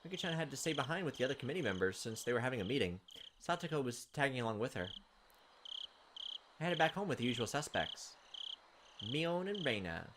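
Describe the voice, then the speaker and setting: Animated male let's play host. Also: medium pitch, brisk, pauses often, noisy background. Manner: informal, playful asides. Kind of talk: reading game text aloud with casual commentary. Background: game music.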